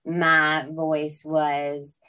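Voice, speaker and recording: A woman's voice: deep voice, completely flat delivery, extremely slow, pushed and bright. A woman in her thirties talking in a reflective, candid way in a casual conversation.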